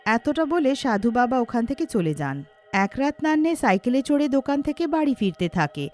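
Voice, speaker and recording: A woman narrates a scripted cartoon story. The delivery is animated, with a fairly high voice that moves around a lot, over faint music. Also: moderate pace.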